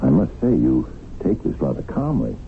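A man acting in a radio drama as a measured, questioning gentleman, on a muffled vintage recording. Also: rumbling low, sing-song, creaky, a little hiss or hum, heavily acted. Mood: probing, surprised. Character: measured man probing a newly impoverished acquaintance.

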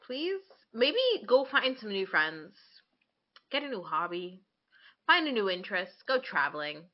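A woman's voice: medium pitch, sing-song, unhurried. Blunt mid-twenties woman, slightly muffled audio. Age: mid-20s. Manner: blunt, frequent swearing, emphatic. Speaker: podcast co-host. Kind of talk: casual opinionated chat.

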